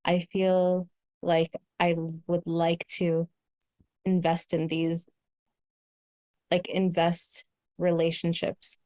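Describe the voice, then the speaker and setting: Low-voiced Canadian woman, thoughtful talk. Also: monotone, slow pace, long pauses, warm tone, dry recording. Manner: thoughtful, many fillers and restarts. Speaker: host. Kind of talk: casual conversation.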